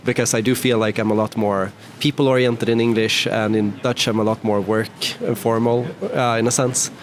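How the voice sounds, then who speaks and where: A man's voice: on the low side, level tone, normal speed, crowd noise. A man whose first language is Swedish, hosting an interview in a casual way with many "you know"s and "right"s. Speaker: host, interviewer. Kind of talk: conversational interview. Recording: faint background noise.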